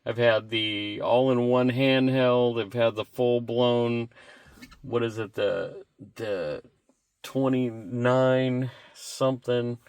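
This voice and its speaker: A man's voice: medium pitch, steady tone, takes it slowly, darkish tone, clean tone. American guy in his 60s just rambling thru a gear review, folksy n plain spoken, dry sound no echo. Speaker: product reviewer. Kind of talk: casual unscripted product review.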